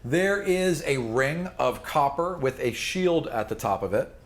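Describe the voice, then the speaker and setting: A man's voice: mid-range voice, expressive, a little slow. A man running a tabletop role-playing game, describing things in an informal, off-the-cuff way with casual asides. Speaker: game master.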